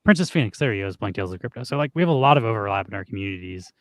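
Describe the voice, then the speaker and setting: A hoarse man co-hosting a stream, chatting casually and rambling with lots of "like". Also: on the low side, animated, racing, no echo. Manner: rambling, heavy use of "like". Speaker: streamer co-host.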